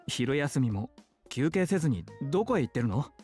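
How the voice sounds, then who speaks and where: Girlish emotional young man in a visual novel, swoopy quick talk, scolds and frets, music behind. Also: medium pitch, husky, dry recording. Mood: concerned, pleading. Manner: soft feminine phrasing, emphatic and expressive. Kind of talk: Japanese visual novel voice acting.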